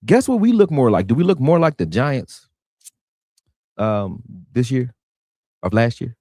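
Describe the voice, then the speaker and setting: A man rants through a live sports talk stream. His pitch swings widely as he talks, and he keeps leaning on "you know what I'm saying." The sound is close and dry. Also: very animated, unhurried, warm tone, dry recording. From Philadelphia. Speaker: sports show host.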